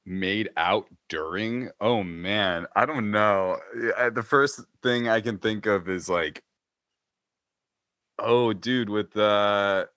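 A man's voice: fairly low pitch, slow pace, sharp tone, no room sound. A young man co-hosting a podcast, hesitant and sheepish, often trailing off while he talks. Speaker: podcast co-host. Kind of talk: casual podcast chat.

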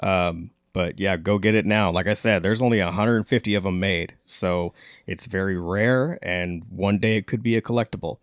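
A man's voice: deep voice, normal speed, no room sound, studio quality. A man co-hosting a podcast, casually passing on event and release announcements. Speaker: podcast co-host and performer.

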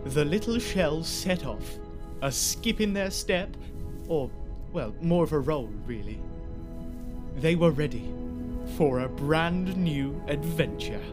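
A man narrating an audio drama like a storybook, expressive and playful. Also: on the high side, takes it slowly. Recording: loud music behind the voice. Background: music.

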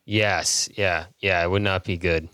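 A man's voice: deep voice, steady tone, measured pace, bright, forceful tone, clean tone, no echo, studio quality. A man co-hosting a podcast in casual film discussion. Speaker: podcast host.

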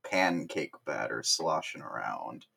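A man's voice: rumbling low, animated, takes it slowly, gravelly, a crowd in the background. A man co-hosting a podcast in casual chat, self-mocking with absurd jokes and frequent laughter. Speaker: podcast co-host.

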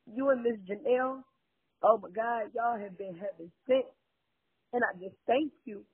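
A woman's voice: fairly high pitch, breathy edge. A woman crying as she leaves a grateful thank-you message, speaking in a tearful, heartfelt way. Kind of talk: emotional recorded voice message. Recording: tinny phone-line recording.

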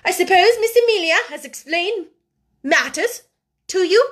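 A woman voicing a haughty, domineering schoolmistress in an audiobook, sharp-tempered, scolding and imperious. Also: very high-pitched, animated, unhurried, voice pushed up. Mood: contemptuous. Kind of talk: audiobook character acting.

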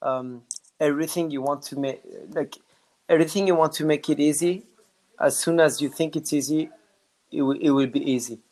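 A man with a French accent chatting in an interview as a dance teacher. He talks haltingly over a video call, often restarting and filling gaps as he gathers his thoughts. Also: on the high side, steady tone, darkish tone. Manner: halting, many restarts and fillers. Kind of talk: casual interview chat.

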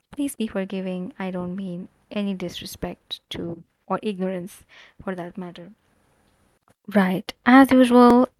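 A woman hosts her own podcast, talking through a book in a loose, chatty way. She fills pauses with ums and playful asides. The sound is dry and close, with her voice at an ordinary middle pitch. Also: no room sound, rough recording. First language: not Korean. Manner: chatty, animated, frequent fillers. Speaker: podcast host. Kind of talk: casual solo podcast book talk.

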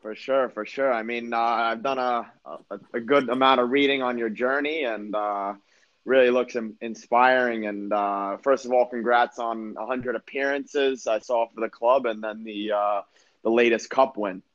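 A man's voice: medium pitch, steady tone, moderate pace, a bit bright, muffled recording. A man hosting a podcast in a casual, informal, conversational style. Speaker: podcast host.

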